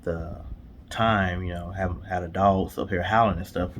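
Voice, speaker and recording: A man's voice: deep voice, fairly even intonation, normal speed, a bit bright, a little hiss or hum. A man co-hosting a storytime show in casual couple chat, colloquial, often repeating himself and saying "you know".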